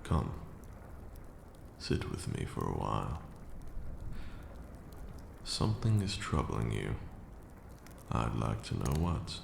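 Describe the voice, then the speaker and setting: Ancient vampire master male actor: unhurried, courtly, gravel-throated ASMR delivery, stern but caring, in a roomy, noisy recording. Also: big pitch swings, exaggerated voice. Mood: instructive, reassuring. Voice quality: gravelly, very deep. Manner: formal, archaic, measured phrasing. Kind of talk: ASMR roleplay, slow intimate monologue. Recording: roomy echo with audible background noise.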